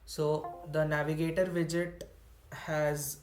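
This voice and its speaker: A man's voice: on the high side, little pitch movement, slow pace, a little mellow, echoey room, faint music behind. A young man with an Indian English accent teaches a coding session over a video call, explaining step by step with many fillers and restarts. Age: young adult. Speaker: student instructor. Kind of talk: online coding workshop. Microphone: computer mic on a video call.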